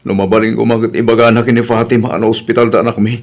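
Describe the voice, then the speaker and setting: A man's voice: lowish voice, little pitch movement, racing, lightly acted. Actor as earnest, weary Ilocano father. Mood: anxious, pleading. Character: earnest, weary husband and father left at home. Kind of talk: Ilocano audio comedy-drama acting.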